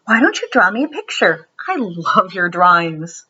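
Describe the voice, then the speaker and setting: Woman playing a patient, upbeat mother, husky voice. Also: lowish voice, sing-song, normal speed, pushed and bright, muffled recording, heavily acted. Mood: caring. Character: reassuring, proud mother in a picture book.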